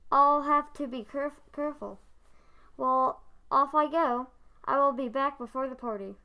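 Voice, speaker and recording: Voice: high-pitched, normal speed, dull audio. A child of about ten acting as a proud but discouraged young husband in a school radio play. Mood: frustrated. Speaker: fourth grade student actor.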